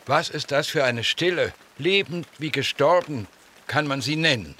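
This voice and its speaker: A forceful, high-ish male voice plays a stubborn, emotional gardener in grief. He is emphatic and declamatory in this radio play, with wide swings of pitch and rain behind him. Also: expressive, moderate pace, slight background noise. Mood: solemn, mournful. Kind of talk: radio drama acting.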